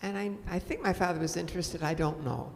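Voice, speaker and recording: Senior American female, lowish creaky voice, plain-spoken storyteller pausing between short phrases, noisy reverberant room. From United States. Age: 70s or older. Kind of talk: personal storytelling in group discussion. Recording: echoey hall, some background noise.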